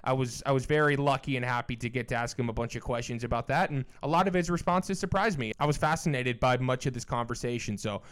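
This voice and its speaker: A man's voice: medium pitch, fast talker, hardly any pauses, fairly bright tone, clean tone. A man hosting a podcast in a conversational style, appreciative and enthusiastic as he introduces and interviews a guest. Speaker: podcast host.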